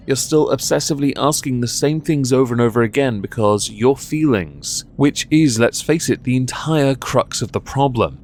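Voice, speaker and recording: A man's voice: medium pitch, slight background noise. A man hosting a commentary on viral clips, talking in a sardonic, scolding way. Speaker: commentary show host.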